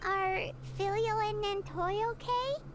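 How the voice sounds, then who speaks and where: An actress playing a tiny, curious, childlike companion sprite in a video game, with a squeaky voice. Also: female voice, steady tone, slow, background noise. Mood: concerned. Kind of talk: scripted video game voice acting.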